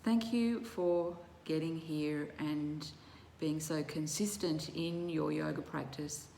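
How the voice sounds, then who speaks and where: Female yoga nidra teacher, lowish voice, very unhurried and soothing, recorded in an echoey room. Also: steady tone, vocal fry, dark tone. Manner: measured, soothing, with long pauses between short phrases. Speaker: yoga teacher leading guided relaxation. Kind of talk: guided meditation instruction. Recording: roomy echo with faint background noise.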